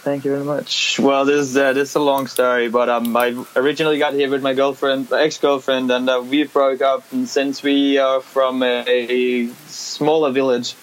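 A man's voice: mid-range voice, level tone, moderate pace, few pauses, poor audio. A young man with a Danish accent, a skateboarder talking casually in an interview, hesitant with many restarts and fillers. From Denmark. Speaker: skateboarder, competition winner. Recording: faint background hiss.

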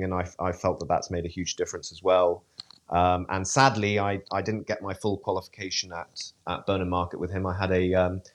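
Very low-voiced man, hesitant. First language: English. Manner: hesitant, many fillers and restarts. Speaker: event rider, interview guest. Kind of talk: podcast interview.

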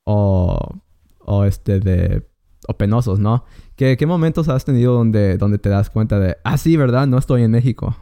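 A man, interviewing in casual conversation and often pausing with fillers. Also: mid-range voice, warm tone. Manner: hesitant, many fillers and restarts.

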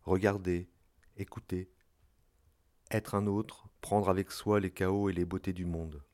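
A man with a low voice delivers scripted narration in the role of a writer. His pitch sits well below most male voices. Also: level tone, unhurried, darkish tone. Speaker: writer and workshop leader narrating.

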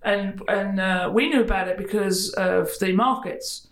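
A middle-aged man with a high-pitched voice chats as host, rambling through his thoughts. His voice echoes around the room. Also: steady tone, unhurried, a bit bright. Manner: rambling, chatty, many fillers. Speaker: podcast host. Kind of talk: casual interview chat.

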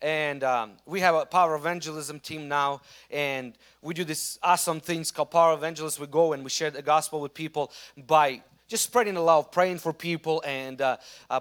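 A male preacher with a Russian accent, high in pitch for a man. He preaches a sermon and slips into animated storytelling, restarting phrases as he goes. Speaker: pastor.

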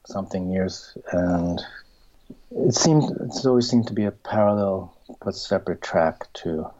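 A middle-aged man, a filmmaker and writer, answering interview questions in a halting, searching way, restarting often and trailing off into a soft whisper. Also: low-pitched, husky. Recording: dull lo-fi recording.

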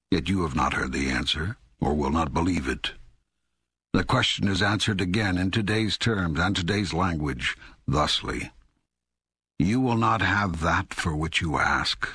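An actor reading God in an audiobook dialogue as a calm, authoritative teacher, with measured, solemn delivery and deliberate emphasis. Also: male voice, deep voice, breathy edge, no room sound, studio quality, full character voice. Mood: solemn, instructive. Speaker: audiobook co-narrator.

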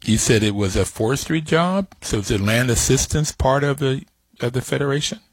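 A man's voice: medium pitch, fairly quick, husky, no room sound. A man hosting a radio interview, asking curious, conversational questions. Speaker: radio host.

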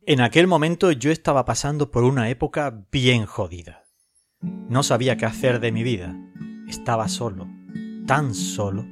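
A man's voice: medium pitch, normal speed, music in the background, close to an everyday voice. A man playing a lonely, lovelorn and self-deprecating narrator in a scripted audio fiction. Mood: lonely, dejected.

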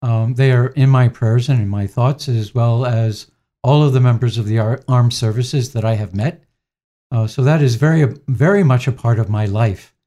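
A man in his sixties, a priest, answering interview questions in a gentle, unhurried way with frequent pauses and fillers. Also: medium pitch. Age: 60s.

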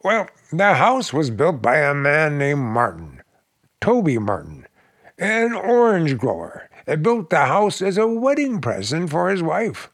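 An actor playing a plain-spoken, practical real estate agent in a 1940s radio drama. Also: male voice, a little high, big pitch swings, unhurried, no room sound, clean studio sound, heavily acted. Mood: matter-of-fact. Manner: matter-of-fact, emphatic storyteller.

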